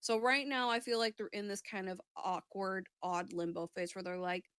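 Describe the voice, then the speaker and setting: A woman with a medium-pitched voice in a dry, close recording, talking casually as she does an intuitive reading. She feels her way through each thought, halting and restating it in a tentative manner. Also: steady tone, fairly bright tone, clean tone, no room sound. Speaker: psychic reader on a talk show.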